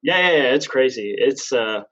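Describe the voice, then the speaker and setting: A man's voice: medium pitch, normal speed, a bit bright, muffled recording. A man in his twenties from Canada, chatting as a podcast guest, rambling and correcting himself as he goes, laughing at himself now and then. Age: 20s. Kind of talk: casual chat.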